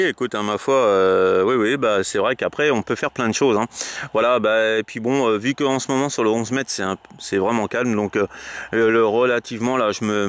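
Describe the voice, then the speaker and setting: Clear, low-voiced man, hesitant delivery. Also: a bit bright. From France. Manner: hesitant, repetitive verbal tics. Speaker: amateur radio operator filming his video. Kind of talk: casual radio contact chat. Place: outdoors on open high ground.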